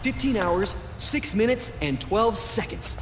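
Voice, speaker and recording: An actor playing an eager, excitable young male soldier in a video game, speaking in an animated manner. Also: high voice, a little slow, noisy background. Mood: eager, anxious. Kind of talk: cinematic video game acting. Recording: music under the voice.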